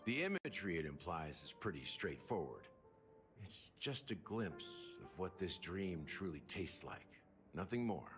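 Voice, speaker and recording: Aging, cool bartender-investigator for a game: low, wry, expressive storytelling over prominent music. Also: male voice, moderate pace. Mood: grave, wry. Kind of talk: scripted video game dialogue.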